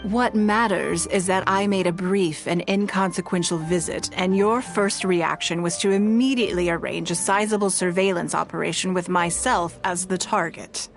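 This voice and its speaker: A woman voicing a composed, shrewd female leader in a video game, speaking in a measured, authoritative way. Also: fairly low pitch, close to an everyday voice. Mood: confident. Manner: poised, measured, commanding.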